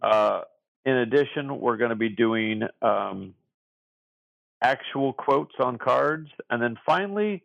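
Gravelly podcast co-host. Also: male voice, lowish voice, no echo, dull audio. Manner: rambling, lots of fillers and restarts. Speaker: podcast co-host running trivia. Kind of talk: casual podcast chat.

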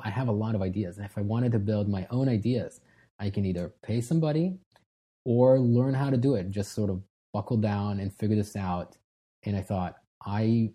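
A man in his thirties from Israel, a guest telling his story in a relaxed, casual way with frequent restarts. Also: on the low side, slightly rough. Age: 30s. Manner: relaxed, frequent restarts and fillers, self-deprecating. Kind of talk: casual interview talk.

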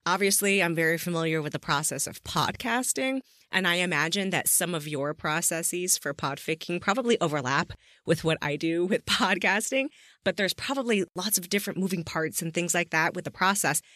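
A woman's voice: lowish voice, normal speed, fairly bright tone, no room sound, studio quality. A woman hosting a casual interview, effusive and informal in the way she talks. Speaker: podcast interviewer and host.

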